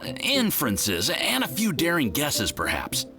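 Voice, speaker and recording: A man's voice: fairly high pitch, wildly swooping pitch. A man voicing an earnest young research assistant in a video game, enthusiastic and deferential. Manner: enthusiastic, rushing, then deferential. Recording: studio game voice with music behind. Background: game music.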